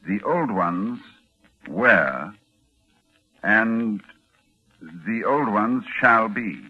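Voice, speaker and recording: An actor playing a dignified, fearful university scholar, with dramatic educated delivery in a 1940s radio horror drama. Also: male voice, on the low side, lo-fi sound, exaggerated voice. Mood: halting, uneasy. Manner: dramatic, educated, hesitant when alarmed. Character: learned male university librarian, dignified, appalled and fearful. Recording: band-limited 1940s radio recording with music.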